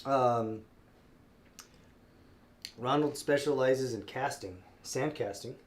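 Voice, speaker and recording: A man's voice: medium pitch, slow pace. Stuffed-up man hosting a live sale. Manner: friendly, rambling, many fillers and restarts. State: stuffed up with a cold. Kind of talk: casual live-stream chat.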